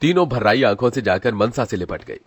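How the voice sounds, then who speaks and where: A man's voice: mid-range voice, animated, brisk, slightly rough, dry recording. A man narrating a scripted dramatic story as its storyteller.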